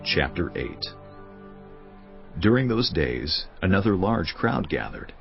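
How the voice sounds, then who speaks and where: Male narrator, scripted Bible reading, gravelly bass, music bed behind, slightly dull sound. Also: big pitch swings, slow.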